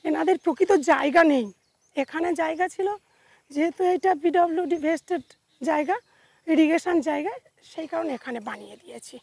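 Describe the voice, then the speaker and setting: A woman's voice: high voice, moderate pace, creaky, a little mellow, no echo. A woman with a West Bengal accent explaining things in an interview.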